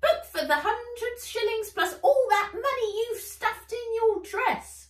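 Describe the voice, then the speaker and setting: A tiny, greedy storybook elf woman with a piercingly high, shrill voice. She is spiteful and cackling, and swoops wildly in pitch, heard in a roomy space. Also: lively intonation, slow pace, far from the mic, exaggerated voice. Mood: menacing.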